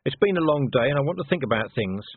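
A man's voice: mid-range voice, expressive, quick pace, husky, no echo, phone-quality sound, pitched above the performer's own voice. Man playing a weary, wry ambassador. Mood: reluctant. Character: weary, wry male ambassador, reluctant and thoughtful. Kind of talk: audiobook character voice.

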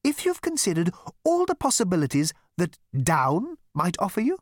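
A talking elevator from a comic storybook, pitched very high. It is sugary, hesitant and painfully polite, a timid machine gently wheedling in a swooping, close, dry voice. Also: male voice, wildly swooping pitch, moderate pace, no echo, heavily acted. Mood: sweetly reasonable. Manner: sweet, hesitant, over-polite.

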